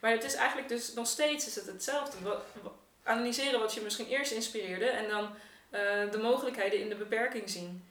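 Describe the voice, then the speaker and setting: A woman's voice: on the high side, a little fast, a bit bright, recorded from a distance. Echoey, reflective woman, off-mic. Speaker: artist, podcast guest. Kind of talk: casual podcast discussion.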